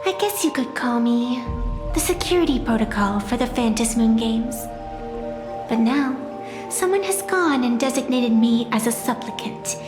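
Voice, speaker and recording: A woman's voice: a little high, expressive, normal speed, close to an everyday voice. A woman voicing an ancient, proud tree spirit in a video game, with a theatrical, wry manner that mixes formality and playfulness. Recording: echoey hall reverb, music behind.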